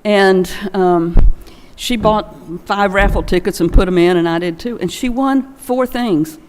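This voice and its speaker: Older woman, folksy informal storyteller at a remembrance, average pitch, long church reverb. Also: unhurried, background noise. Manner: folksy, anecdotal. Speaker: friend giving a remembrance. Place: large church, at a memorial gathering. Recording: long echo of a large church hall.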